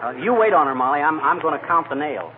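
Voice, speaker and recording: An actor playing a bumbling, excitable, folksy husband in a 1940s radio comedy, with a blustering manner. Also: male voice, on the high side, quick pace, phone-quality sound. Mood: wry, brisk. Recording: noisy old radio recording, loud studio audience.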